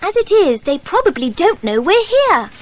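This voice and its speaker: A woman playing a gentle, anxious little girl in a dramatised children's adventure, speaking in an earnest, well-spoken way. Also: very high voice, very animated, normal speed. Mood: worried.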